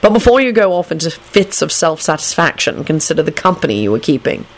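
A man's voice: fairly high pitch, big pitch swings, quick pace, rough recording. A woman voicing a stern, sardonic male government minister in an audiobook-style story, formal and imperious. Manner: formal, sarcastic, clipped commands.

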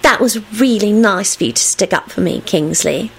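A woman voicing a sweet, pouting young lady in an audiobook, bewildered and breathy. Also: high voice, big pitch swings, a little fast, darkish tone, pitched above the performer's own voice. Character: Rosie, sweet pouting young lady, playfully put-upon.

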